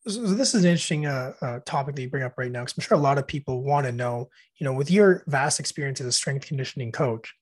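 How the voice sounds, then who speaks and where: A man hosting an interview, putting a long question to his guest in a curious, halting way. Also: mid-range voice, breathy edge. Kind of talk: asking questions in a podcast interview.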